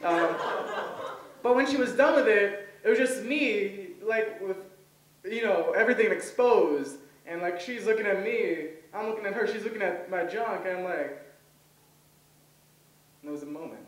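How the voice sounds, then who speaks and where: A young man whose first language is Hebrew tells his stand-up jokes in a hesitant, rambling way, full of ums and chuckling at his own lines. His voice sits a touch high. He is heard from some distance in an echoey room. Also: normal speed. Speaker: stand-up comedian. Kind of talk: first-time stand-up set. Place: comedy event stage. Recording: roomy, voice from far off.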